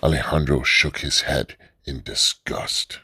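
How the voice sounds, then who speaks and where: A man narrates a radio drama in an extremely deep voice with a husky edge. His storytelling is steady and measured, recorded dry and close. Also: no room sound. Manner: steady, low storytelling delivery.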